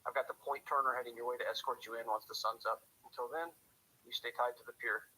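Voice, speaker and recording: An actor playing a steady, commanding police officer on night radio duty, speaking in clipped procedure in a radio drama. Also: male voice, medium pitch, fast. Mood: firm, urgent. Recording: hissy police radio or telephone line.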